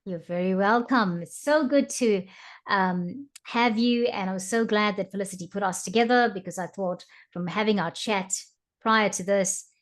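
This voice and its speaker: A woman hosting an online interview who opens with a bright, welcoming manner and many filler ums. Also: mid-range voice, moderate pace. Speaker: host.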